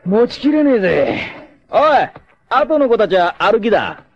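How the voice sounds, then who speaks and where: An actor playing a carefree, roguish young martial artist and womanizer in a dramatic anime, with a cocky drawl and rough masculine speech. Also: high voice, very animated, measured pace, heavily acted. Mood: boastful, playful. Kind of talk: dramatic 1980s anime voice acting. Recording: dull lo-fi old TV audio.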